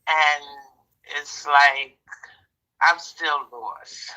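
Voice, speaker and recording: Woman, New York accent, very deep voice, bright edge, tinny phone line. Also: drawn-out words. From Harlem, New York. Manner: subdued, confiding. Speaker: caller. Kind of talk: phone-in conversation.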